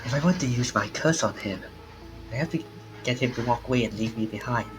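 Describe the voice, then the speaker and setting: A man's voice: medium pitch, brisk. A man reading a visual novel aloud as a streamer. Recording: game music behind the voice. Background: game music.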